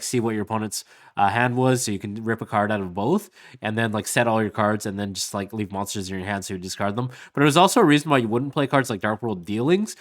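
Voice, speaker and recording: A man rattles through a casual card-game deck walkthrough at a brisk clip, his voice sitting at a middling pitch. He is sick and a little hoarse, sniffling between thoughts. His delivery is upbeat and loose, packed with "like" and "uh" fillers. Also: fairly bright tone. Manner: rambling, many "like" and "uh" fillers, upbeat. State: losing his voice, sniffly from illness. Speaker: YouTube host.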